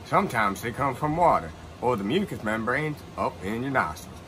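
A man's voice: mid-range voice, very animated, moderate pace, fairly bright tone, a little hiss or hum. A man playing an earnest oddball who tells of alien encounters in a mock-serious, theatrical comedy monologue. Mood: mock-solemn.

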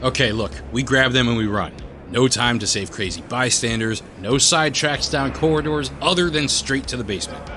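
A man's voice: medium pitch, moderate pace, few pauses, bright, forceful tone, slight background noise, close to an everyday voice. A man playing a cynical, sarcastic, foul-mouthed reluctant hero in a horror audio drama, blunt and exasperated.

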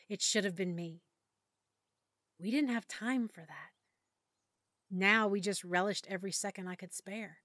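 A woman narrating a first-person audiobook in an intimate, hushed delivery that often drops near a whisper. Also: on the low side, dry recording, clean studio sound.

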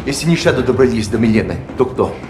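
An actor playing a grim, eager lieutenant to a terrorist leader in a military game, terse and dutiful. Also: male voice, mid-range voice, animated, rapid-fire, natural voice. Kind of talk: military game cutscene acting. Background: loud dramatic music.

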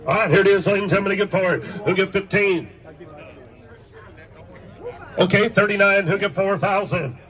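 A man working as an auctioneer, calling bids in a rapid, rhythmic chant at an outdoor estate sale. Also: high voice, a bit bright. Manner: rhythmic repetitive bid calling. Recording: distant voice outdoors.

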